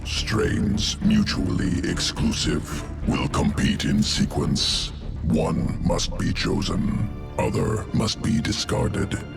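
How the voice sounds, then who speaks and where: A man voicing a cold, clinical alien insectoid evolution master in a video game, with a guttural creature rasp and clipped, telegraphic, detached speech. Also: low voice, full character voice. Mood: clinical, curious. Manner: clipped telegraphic sentences without articles, detached and deliberate. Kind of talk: video game character acting. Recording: music behind the voice.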